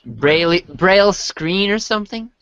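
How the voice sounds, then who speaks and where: Man playing a slow-paced, sarcastic teenage boy. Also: sits high, expressive, a bit bright, clean tone, no room sound, muffled recording. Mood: skeptical. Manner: hesitant, incredulous, dryly sarcastic. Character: naive, easygoing, sarcastic teenage boy hero. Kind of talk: amateur reader's theater reading. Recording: faint noise behind home recording.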